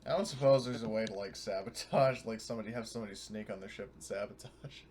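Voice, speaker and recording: A man's voice: fairly low pitch, quick pace, a little mellow, a little hiss or hum. A man playing a tabletop roleplaying game, talking informally with some swearing, partly in character.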